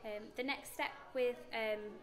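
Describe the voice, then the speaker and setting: A woman's voice: on the high side, fairly even intonation, unhurried. A British woman in her thirties, a primary school teacher explaining her classroom practice in an interview.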